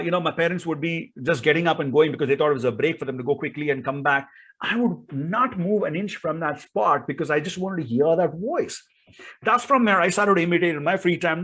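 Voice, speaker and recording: A man's voice: fairly high pitch, fairly bright tone. A man with an Indian accent giving an instructional talk as a speaking coach, in an emphatic, theatrical way full of rhetorical questions.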